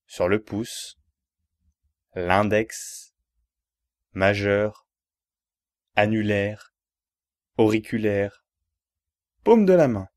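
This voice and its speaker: Slow-spoken man guiding relaxation. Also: on the low side, no echo, clean studio sound. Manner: measured, repetitive step-by-step instructions. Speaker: yoga nidra relaxation guide. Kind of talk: guided relaxation instruction.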